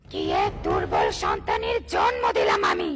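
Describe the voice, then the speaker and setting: A performer voicing an evil, vengeful three-headed witch in a cartoon fairy tale, declaiming with shouted menace. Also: very deep voice, flat delivery, normal speed, distant mic, very noisy. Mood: bitter.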